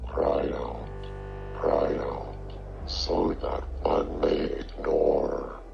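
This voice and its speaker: A man's voice: very deep voice, big pitch swings, very slow, gravelly, exaggerated voice. A man voicing a sinister otherworldly inner voice in analog horror, solemn, demanding and commanding. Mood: cold, commanding. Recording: muffled lo-fi audio under music.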